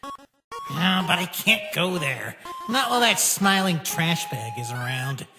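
A small, scheming talking flower for a cartoon dub. It mutters spitefully to itself in a slow, animated voice. Music plays behind. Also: male voice, fairly high pitch. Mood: brooding, scheming. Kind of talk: comic dub character acting.

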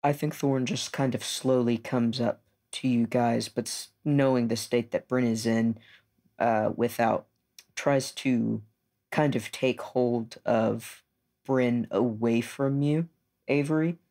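Informal male roleplayer, mid-pitched. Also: steady tone, slow, a little mellow. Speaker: tabletop game player. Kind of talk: casual roleplay narration.

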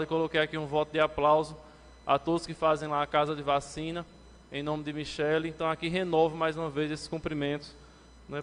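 Councilman's formal speech in a reverberant chamber. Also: a bit bright. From Brazil. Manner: formal, addresses the chair, rises to emphatic pitch when criticizing. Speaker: city councillor. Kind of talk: legislative floor speech. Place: council chamber, indoors, medium-sized. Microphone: lectern microphone, standing.

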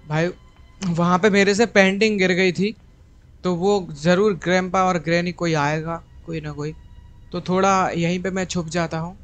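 A man's voice: high-pitched, fairly even intonation, normal speed. A young man giving casual commentary as host of a gaming video, with game music behind him.

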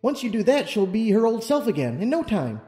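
A man voicing a confident, helpful female scholar pony in a storybook reading, explaining things briskly. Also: sits high, sing-song, warm tone, pitched above the performer's own voice. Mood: encouraging. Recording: long reverb, music underneath.

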